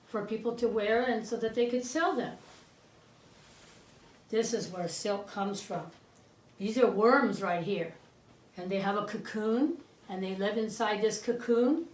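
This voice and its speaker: A woman's voice: medium pitch, a little slow, darkish tone. A woman giving an educational talk for children in short, simple sentences, posing questions to her listeners. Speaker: co-presenter. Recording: roomy indoor echo.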